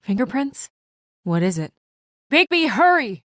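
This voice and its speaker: A woman's voice: a little high, sing-song, unhurried, fairly bright tone, no room sound. A woman acting as a composed, earnest young investigator in a noir fantasy video game, serious and restrained with a dry edge. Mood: urgent. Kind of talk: video game voice acting, naturalistic dramatic dialogue.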